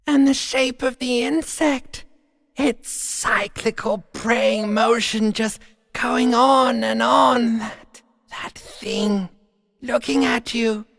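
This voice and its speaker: A man voicing a sinister, sneering primal brain in a video game, with a raspy, hissing half-whisper and drawn-out theatrical delivery. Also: very high-pitched, steady tone, a bit bright, no echo, studio quality, long reverb tail, full character voice. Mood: morbid, taunting. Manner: slow, theatrical, drawn-out, swelling from whispers to cries. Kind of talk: video game voice acting, menacing monologue.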